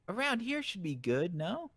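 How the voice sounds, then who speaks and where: A man's voice: high voice, big pitch swings, slow, a bit bright, pitched above the performer's own voice, full character voice. A man voicing a sweet, soothing young girl in an amateur manga dub.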